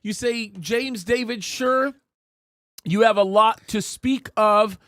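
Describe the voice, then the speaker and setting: High-voiced chatty male host. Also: takes it slowly, fairly bright tone, no room sound. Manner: chatty, joking, reads viewer comments aloud. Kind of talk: live show chat. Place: studio.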